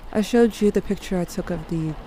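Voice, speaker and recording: A woman's voice: mid-range voice, brisk, background noise, lightly acted. A woman playing a guilt-haunted teenage narrator in an audio drama, confessional, introspective and wry. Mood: grieving, haunted. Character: guilt-haunted, guarded teenage narrator grieving a dying loved one.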